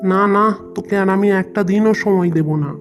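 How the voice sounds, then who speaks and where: A woman voicing a cruel, greedy middle-aged male landlord in a cartoon, harsh and scornful, over loud background music. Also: mid-range voice, a little fast, natural voice.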